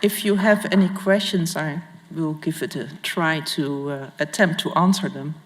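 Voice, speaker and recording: A woman's voice: low voice, a little hiss or hum. Woman lecturing in a reverberant hall. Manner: formal, halting, careful phrasing. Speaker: museum curator and guest lecturer. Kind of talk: public art history lecture. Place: large indoor lecture hall with audience.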